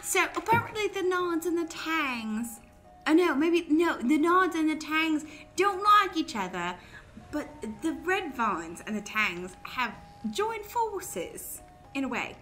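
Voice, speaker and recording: A woman's voice: high-pitched, sing-song, takes it slowly, sharp tone, soft background music, heavily acted. A woman in an improvised comedy radio play, acting an eager, scheming daughter who hesitates and seeks her mother's approval. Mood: earnest.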